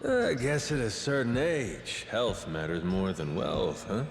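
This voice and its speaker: A brash, big-hearted pirate captain from a video game. His blunt, casual voice swings wildly in pitch at an unhurried pace, in a big echoing space. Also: big pitch swings, vocal fry, heavily acted. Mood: warm reassurance. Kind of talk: scripted video game cutscene acting. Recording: echoey cave-like hall with faint music.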